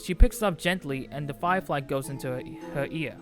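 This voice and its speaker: A man with a voice a little higher than most delivers scripted video-essay narration. He keeps it informal and opinionated, slipping in casual asides. Music plays clearly behind him. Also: fairly quick. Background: background music.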